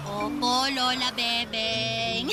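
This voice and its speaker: Audio drama role: outgoing, teasing teenage girl cousin played by a woman, high and breezy, over loud music. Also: flat delivery, extremely slow.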